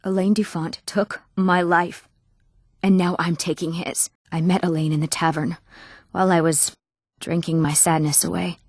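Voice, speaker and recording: A woman's voice: fairly low pitch, flat delivery, normal speed, dry recording, studio quality. A woman voicing an emotive, dramatic young woman in a fantasy video game. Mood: bitter, wounded. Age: young adult. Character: eager, wronged young woman of a fantasy world. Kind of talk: video game character voice lines.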